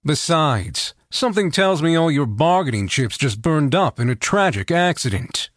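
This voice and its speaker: A man's voice: fairly high pitch, sing-song, moderate pace, no room sound. A man voicing a cunning, ruthless male adventurer who speaks with dry, cold sarcasm. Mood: cold menace.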